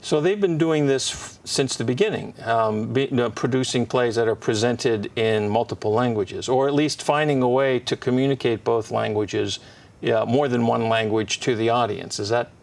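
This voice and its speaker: Gravelly-voiced male interviewer, slightly off-mic. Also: medium pitch, moderate pace, distant mic. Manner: friendly and curious, short acknowledgements.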